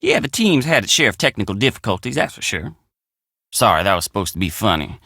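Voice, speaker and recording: A man's voice: lowish voice, sing-song, fast, gravelly, sharp tone, no echo, studio quality, heavily acted. An actor playing a friendly, plainspoken young country handyman with an American drawl in a video game. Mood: wry, sincere. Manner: folksy, plainspoken country phrasing. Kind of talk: video game character dialogue.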